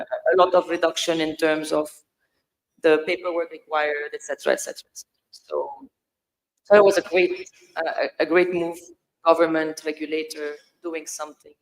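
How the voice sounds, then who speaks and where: A middle-aged woman from Lebanon telling a personal story on a discussion panel, speaking in short, deliberate phrases. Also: low-pitched, creaky, low-quality recording.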